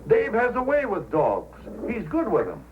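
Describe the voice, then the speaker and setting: Plain-spoken challenging Yukon dog man, highish voice, old radio drama, muffled with faint noise. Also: huge pitch swings, moderate pace. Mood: determined. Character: Hank Weber, spirited, principled Yukon sled-dog man.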